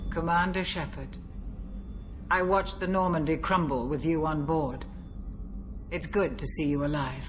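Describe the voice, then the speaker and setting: A woman playing a gracious veteran ship's doctor in a video game, courteous and measured. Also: sits low, steady tone, a little hiss or hum. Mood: composed, welcoming. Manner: courteous, measured, slightly formal. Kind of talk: video game cutscene voice acting.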